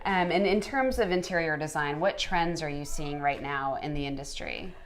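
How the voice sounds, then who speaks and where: A woman hosting an interview with a fairly low voice. She asks her questions simply and answers her guest with short, friendly affirmations. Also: normal speed, slight background noise. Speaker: host, interviewer.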